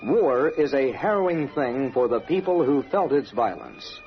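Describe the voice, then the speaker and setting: A man narrating a radio documentary from a script in a solemn, measured manner. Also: medium pitch, expressive, soft background music. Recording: dull vintage radio broadcast recording.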